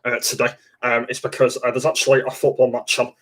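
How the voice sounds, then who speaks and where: A young man talks to his webcam from a dark room at home. His voice stays flat and even in pitch, and his speech halts on constant fillers and restarts. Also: fast talker. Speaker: livestream host. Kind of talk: casual livestream chat.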